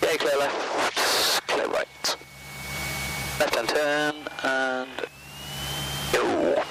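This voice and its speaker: A man's voice: mid-range voice, fairly even intonation, drawn-out words, vocal fry. A man piloting a small plane, thinking aloud through checklists in clipped, procedural phrases on a headset mic. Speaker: private pilot. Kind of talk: cockpit checklist talk while flying. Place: small aircraft cockpit. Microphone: aviation headset. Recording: loud light-aircraft engine and cockpit noise.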